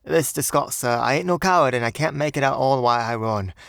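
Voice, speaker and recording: Man acting higher-voiced young Cockney bellboy. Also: a little fast, creaky, fairly bright tone, smooth, no echo, studio quality. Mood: embarrassed, frightened. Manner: ungrammatical, servile, peppered with "sir". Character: young Cockney bellboy, deferential, indignant and uneasy. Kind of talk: audiobook character voice.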